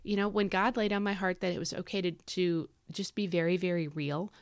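A woman's voice: on the low side, steady tone, fairly quick, no room sound, clean studio sound. A woman hosting a devotional podcast, talking in a casual, earnest way with frequent fillers; her voice is scratchy and tired from overuse. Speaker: devotional podcast host and author.

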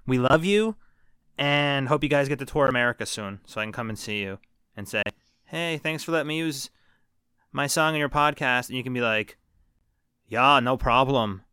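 Bright, crisp-voiced man joking on a podcast. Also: mid-range voice, normal speed, dry recording, clean studio sound. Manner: joking, swears freely. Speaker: podcast co-host. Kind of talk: casual movie podcast chat.

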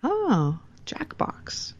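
A woman's voice: high voice, huge pitch swings, extremely slow, breathy edge, in a whisper, muffled recording. A woman co-hosting a podcast, chatting casually in short, agreeable replies. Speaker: podcast co-host.

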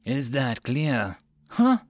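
A man's voice: medium pitch, very animated, drawn-out words, dry recording, studio quality, full character voice. Man acting a barking, sneering drill instructor. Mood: menacing. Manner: barking, sneering, mock-theatrical insults. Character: bullying, sarcastic military drill instructor, adult male.

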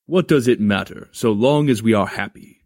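A doting lord of the underworld as a man acts him out for a storybook. He speaks low, with polished formality and dry playfulness, in a close, dry sound. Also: normal speed, darkish tone, dry recording, lightly acted. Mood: affectionate, playful. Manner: suave, formal, drily playful.

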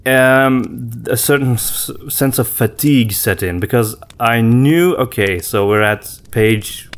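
A man co-hosting a podcast in casual conversation, reflective and often pausing to search for his words. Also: medium pitch. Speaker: podcast co-host.

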